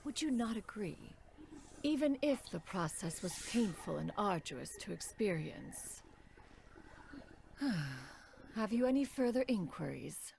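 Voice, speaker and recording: An actress playing a dignified immortal crane adeptus and mentor in a video game, speaking in formal, archaic phrases. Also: female voice, mid-range voice, big pitch swings, measured pace, gravelly, darkish tone, a little hiss or hum. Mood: gentle, wise. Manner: formal, archaic, refers to self as "one". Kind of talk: scripted game character dialogue.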